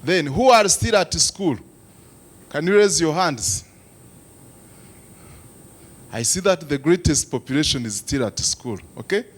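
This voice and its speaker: A man's voice: on the high side, animated, a little slow, pauses often. A man from Rwanda giving a presentation to a youth forum, asking the audience questions with frequent "uh" hesitations. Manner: engaging, asks the audience questions. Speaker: lead presenter.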